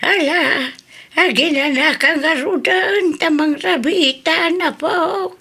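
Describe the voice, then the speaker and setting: A woman with a Filipino accent playing a creaky-voiced, protective, long-suffering old grandmother in an audio drama. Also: extremely high pitch, slow, sharp tone, heavily acted. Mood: fond, caring. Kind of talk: audio drama acting in Ilocano.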